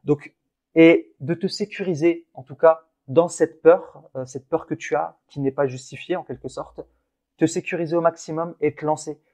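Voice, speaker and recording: A man's voice: on the high side, steady tone, normal speed, darkish tone. Earnest encouraging French podcast host man. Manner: earnest, encouraging, many restarts. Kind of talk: casual motivational podcast chat.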